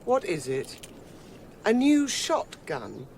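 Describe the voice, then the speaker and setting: An affectionate, girlish daughter in a radio play. She speaks unhurriedly with a very lively, emotional melody. There is faint noise around her. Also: mid-range voice, slow pace, heavily acted. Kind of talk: radio drama acting.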